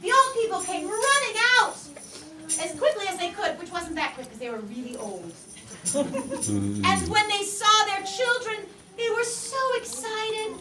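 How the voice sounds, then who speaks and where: A woman's voice: extremely high pitch, big pitch swings, measured pace. A woman leading a kindergarten puppet show, telling the story in a theatrical, sing-song way and prompting the children to join in. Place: classroom. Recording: echoey classroom, far from the mic, music behind.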